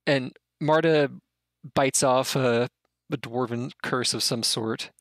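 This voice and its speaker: A man's voice: medium pitch, steady tone, takes it slowly, fairly bright tone, no echo, clean studio sound. A man in his thirties narrating a tabletop game as game master over a video call, rambling with lots of fillers. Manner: rambling, filler-heavy, often laughing.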